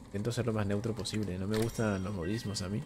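A man's voice: on the low side, fairly even intonation, fast talker, background noise. A young man from Venezuela streaming gameplay from home, chatting with his viewers and answering questions from chat at a desk microphone. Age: young adult. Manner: chatty, warm greetings to viewers, reads chat aloud. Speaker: gaming streamer. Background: faint game music.

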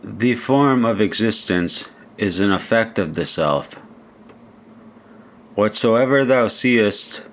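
A man reading translated poetry aloud like an audiobook, in a solemn, measured manner. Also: fairly low pitch, expressive, a bit bright. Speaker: reader.

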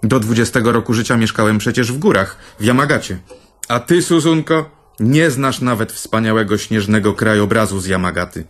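An actor playing a vain, theatrical older brother in a radio play, telling tales in a pompous manner. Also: male voice, lowish voice, lively intonation, fairly quick, a bit bright. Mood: boastful. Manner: pompous, storytelling.

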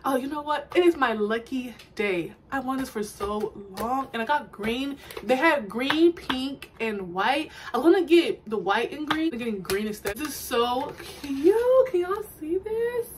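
A woman's voice: high voice, animated, a little slow, few pauses, noisy background. A young woman in her twenties, chatty and gushing, in a casual shopping haul vlog recorded at home. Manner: chatty, gushing, says "y'all" and "girl" a lot. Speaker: vlogger. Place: bedroom at home, indoors.

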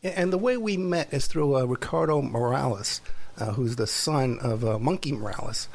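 A man hosting an interview podcast, asking questions in a relaxed way with frequent pauses and fillers. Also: mid-range voice, vocal fry. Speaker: podcast host, private investigator. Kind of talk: casual interview.

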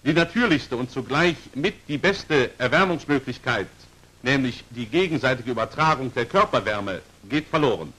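A man's voice: medium pitch, expressive, moderate pace, pushed and bright, breathy edge, rough recording. Formal 1940s training film narrator, man. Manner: formal, precisely articulated, instructional.

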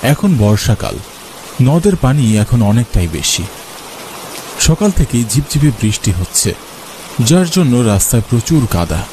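A man's voice: mid-range voice, sing-song, unhurried, pauses often, noisy background. A man narrating a scripted scary story for a cartoon. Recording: music and rain behind. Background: music and rain sounds.

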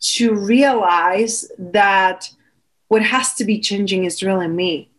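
A woman's voice: medium pitch, slow pace. A woman in her thirties with a Czech accent, a coach talking openly as a guest on a video-call interview. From Czech Republic. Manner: earnest, open, gestures with hands. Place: small home room indoors. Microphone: studio mic on video call.

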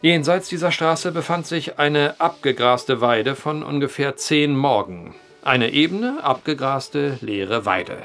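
An actor playing a wry male journalist who tells an eerie old tale with deliberate phrasing in an audio drama. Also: medium pitch, lively intonation, a bit bright, soft background music. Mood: measured storytelling. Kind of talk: German audio drama acting, storytelling.

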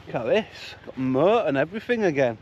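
A man's voice: a little high, expressive, brisk, darkish tone, slight background noise. A man with a Yorkshire accent chatting casually and jokily to his viewers as a van-life vlogger, in muffled on-location audio. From Yorkshire, England. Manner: chatty, informal, jokey. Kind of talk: casual vlog commentary to viewers.

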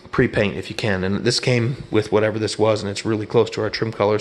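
A man's voice: medium pitch, a bit bright. Middle-aged man, working carpenter type, rambling folksy how-to delivery, head-cam sound in a bare room. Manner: rambling, folksy, self-correcting explanations. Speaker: carpenter teaching trim work. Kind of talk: casual hands-on instruction. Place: empty apartment room under renovation, indoors. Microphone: head-mounted action camera.

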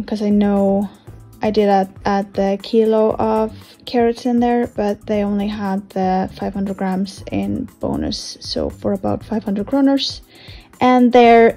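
A woman's voice: mid-range voice, warm tone. A woman vlogger chatting casually with many fillers, explaining her weekly grocery plan at home.

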